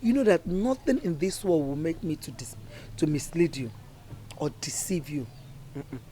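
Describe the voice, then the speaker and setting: A woman's voice: low-pitched, very animated, normal speed, mellow, a little hiss or hum. An actress playing a worried middle-aged mother, lecturing her daughter earnestly.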